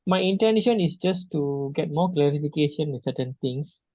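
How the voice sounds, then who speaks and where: A man's voice: a little high, expressive, normal speed, darkish tone, no echo. Hesitant man from Malaysia on a call. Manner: hesitant, many restarts and fillers. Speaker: session participant. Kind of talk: halting personal sharing. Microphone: video call. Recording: dull lo-fi call audio.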